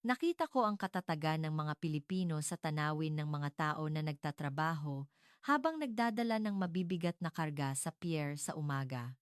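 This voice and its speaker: A woman reading a scripted dramatic narration in a measured, earnest storytelling manner. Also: low voice, lively intonation, smooth, no room sound, clean studio sound.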